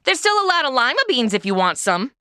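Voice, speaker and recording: A woman's voice: sits high, sing-song, fast talker, bright, forceful tone, clear, resonant tone, no echo, clean studio sound, exaggerated voice. A woman playing a sarcastic, wisecracking modern young woman in an old west comedy audio drama. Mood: wry. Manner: sarcastic, quippy. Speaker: title character.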